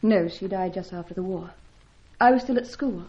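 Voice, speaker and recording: A woman's voice: medium pitch, normal speed, warm tone, close to an everyday voice. An actress playing a helpless, anxious young woman in an old radio adventure serial, with a genteel, earnest, fretful delivery. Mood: wistful, demure. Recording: dull, lo-fi old radio recording.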